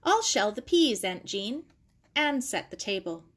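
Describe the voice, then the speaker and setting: A woman's voice: a little high, sing-song, unhurried, fairly bright tone, breathy edge, full character voice. A woman voicing a plucky, reassuring young girl in a storybook reading. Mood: eager. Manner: confident, reassuring.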